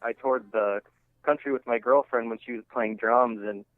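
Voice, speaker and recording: A man being interviewed as a musician, chatting casually and haltingly, often saying "like" and "you know". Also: medium pitch, fairly even intonation, no room sound, faint music behind. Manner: halting and conversational, heavy use of "like" and "you know". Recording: thin phone-line sound.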